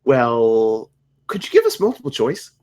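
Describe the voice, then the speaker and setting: Dopey, slangy slacker guy played by a woman, low husky voice, very swooping delivery, comedy script read. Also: huge pitch swings, darkish tone, exaggerated voice. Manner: slow-witted, earnest, slangy. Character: dim, laid-back slacker dude pool cleaner.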